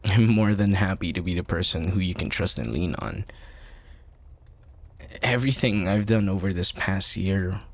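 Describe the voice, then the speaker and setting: A man playing an affectionate, playful university student best friend in an intimate roleplay, talking softly and a little hesitantly in a husky voice. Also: sits low, moderate pace, no echo. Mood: tender, sincere. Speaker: audio roleplay voice actor. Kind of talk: intimate boyfriend ASMR roleplay.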